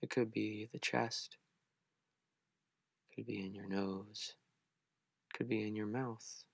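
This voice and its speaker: A man leading a beginners' guided meditation, speaking gently and unhurriedly with long pauses. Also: on the low side, steady tone, vocal fry, no echo, clean studio sound.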